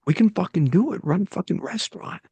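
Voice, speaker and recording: A man's voice: high-pitched, expressive, brisk, mellow, breathy edge, dry recording, pitched above the performer's own voice, full character voice. A man reading the part of a fired-up older brother giving a sweary, intense pep talk at an amateur table read. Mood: urgent.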